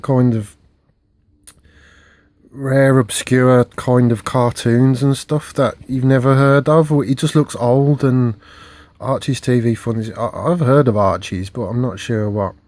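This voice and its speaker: A man, rambling through a casual haul video with many fillers and restarts, recorded on a phone at home. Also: darkish tone. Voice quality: low voice. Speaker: video collector vlogger. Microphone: phone camera.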